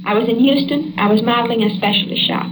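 A woman's voice: a little high, quick pace. A young woman from Texas with a Texan accent, insisting on where she is from in an unscripted conversation with a man who doubts her. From Texas, USA. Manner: indignant, insistent and defensive. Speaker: unwitting subject of a hidden-microphone prank. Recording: hidden mic, noisy old radio recording.

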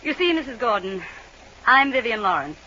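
A woman's voice: on the high side, sing-song, moderate pace, bright, forceful tone. An actress playing a cunning, commanding female spy villain with a controlled, menacing manner in old radio drama. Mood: cold menace. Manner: controlled, menacing, crisp orders. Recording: dull old radio recording, noisy background.

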